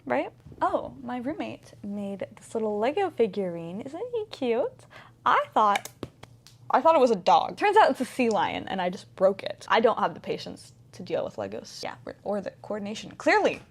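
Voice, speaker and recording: A woman's voice: high-pitched, big pitch swings, normal speed. A woman of twenty talking in a casual, rambling vlog style, full of "like" and "um" as she chats. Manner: rambling, filler-heavy, lots of "like". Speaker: vlogger.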